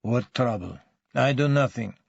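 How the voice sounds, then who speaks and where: Male reader as a surly immigrant suspect, Eastern European accent, evasive, whiny broken English, gravelly, audiobook, dry recording. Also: mid-range voice, measured pace, no room sound, muffled recording. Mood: surly, defiant. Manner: terse, broken English, whining.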